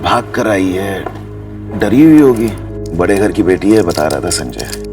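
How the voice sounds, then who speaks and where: An actor playing a wary middle-aged uncle in a TV crime drama, familiar and protective in a grudging way. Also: male voice, low voice, lively intonation, unhurried, music in the background. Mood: resigned.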